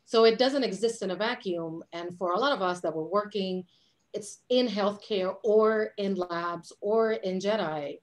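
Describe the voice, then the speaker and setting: A woman from Puerto Rico in her forties talks with lively hand gestures over a video call from a dim home office. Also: mid-range voice, unhurried. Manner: animated, gestures a lot with her hands. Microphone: webcam on a video call.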